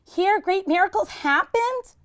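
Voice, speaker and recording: A woman's voice: very high voice, animated, measured pace, fairly bright tone, pitched above the performer's own voice, exaggerated voice. A woman voicing an eager, boastful little boy in a storybook, chanting and exclaiming. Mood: excited.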